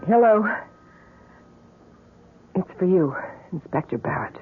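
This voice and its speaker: A muffled old radio recording of an anxious girlfriend. Her female voice is slightly husky, with a worried, pleading tone in classic radio drama style. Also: lowish voice, expressive, slow, exaggerated voice. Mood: subdued, worried. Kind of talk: 1970s American radio drama acting.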